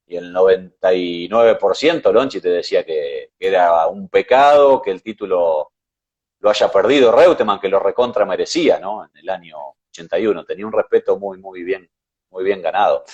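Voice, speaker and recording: Low-key Argentine man, slightly dull sound. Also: darkish tone. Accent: Argentine Spanish. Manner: measured, hesitant with fillers. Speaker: co-host. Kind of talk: casual sports chat.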